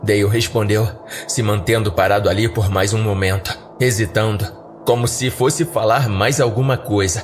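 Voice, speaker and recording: A man's voice: lowish voice, fairly even intonation, normal speed. A man with a Brazilian accent giving scripted first-person narration of a horror story as a podcast narrator. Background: music.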